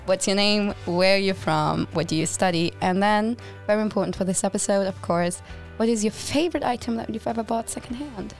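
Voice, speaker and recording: A woman's voice: mid-range voice, brisk, few pauses, faint music behind. A young woman from Germany hosting a casual student podcast, chatty and full of fillers. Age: young adult. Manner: chatty, friendly interviewer with fillers. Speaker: podcast host.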